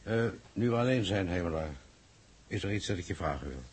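A man's voice: deep voice, animated, a little fast, husky. An actor playing a troubled male official in a 1960s Dutch radio drama, hesitant and pensive as he questions experts.

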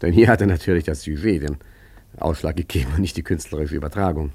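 A man's voice: a bass voice, fast, darkish tone, slightly rough, heavily acted. An actor in a radio play playing an urbane art forger who tells his story in a chatty, wry, self-satisfied way. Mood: dry, amused.

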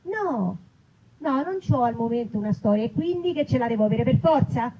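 Performed defensive young woman, high-pitched. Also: expressive, normal speed, muffled recording, noisy background. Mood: defensive, brisk. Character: defensive beautiful young woman insisting she is fine.

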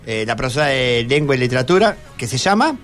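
A man's voice: mid-range voice, very animated, fast talker, a bit bright, soft background music. A man with an Argentine accent from Buenos Aires hosting a live radio interview, conversational and prompting with questions. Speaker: radio host.

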